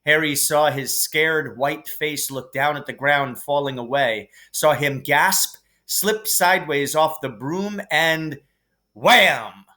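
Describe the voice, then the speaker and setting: A man reading a children's novel aloud as expressive story narration, like a teacher reading to a class. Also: a little high, slow pace.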